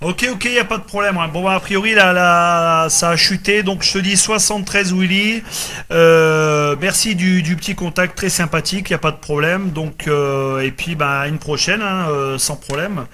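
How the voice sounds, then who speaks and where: A man's voice: high voice, moderate pace, bright, forceful tone. A man from northern France chatting casually as an amateur radio operator, often repeating reassuring little phrases. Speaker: radio operator making contacts. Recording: noticeable background noise from radio set.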